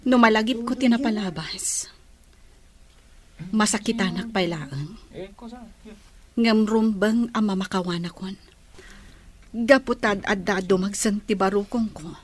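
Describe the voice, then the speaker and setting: A woman playing a gentle, forgiving young woman fresh out of school in a radio drama, with an Ilocano Filipino accent. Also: mid-range voice, measured pace, long pauses, lightly acted. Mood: hurt, forgiving.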